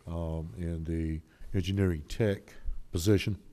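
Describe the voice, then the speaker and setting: A man's voice: low-pitched, fairly even intonation, unhurried, warm tone. A man on the council, formally wording an amendment in a large chamber. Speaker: council member.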